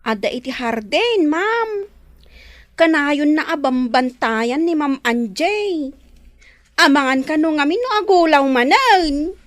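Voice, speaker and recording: A woman's voice: high voice, animated, takes it slowly, dry recording. A woman playing an eager, gossipy, respectful young housemaid in a radio drama. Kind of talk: Ilocano radio drama acting.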